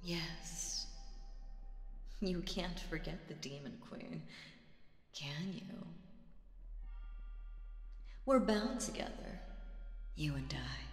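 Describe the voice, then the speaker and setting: A woman playing a seductive, imperious demon queen in an audio roleplay, teasing and condescending, with drawn-out phrasing and breathy asides. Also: low-pitched, animated, heavily acted. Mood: taunting, intimate. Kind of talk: slow roleplay audio drama monologue with whispers. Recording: echoey reverberant hall with music behind.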